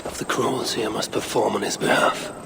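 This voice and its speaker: Gravelly, grim Norse god muttering. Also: male voice, fairly low pitch, fairly even intonation, very noisy. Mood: wry contempt. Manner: muttering, measured, haughty, wry. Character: grim, sardonic, arrogant older Norse god, tracking enemies. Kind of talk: dramatic video game voice acting.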